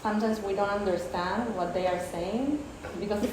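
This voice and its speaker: A woman's voice: medium pitch, measured pace, darkish tone. A woman whose first language is Spanish, speaking English thoughtfully on an artists' panel and searching for her words. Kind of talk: reflective panel discussion. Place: exhibition space, indoors. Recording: echoey room with faint noise.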